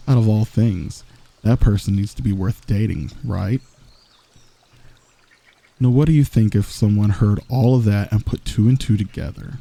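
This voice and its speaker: A man's voice: on the low side, mellow, close to an everyday voice. A man playing a smug, confident villain who teases and flirts with a rival in an intimate ASMR roleplay. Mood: flirtatious, coaxing. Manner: teasing, smug, drawling taunts. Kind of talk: romantic ASMR roleplay, intimate scripted monologue.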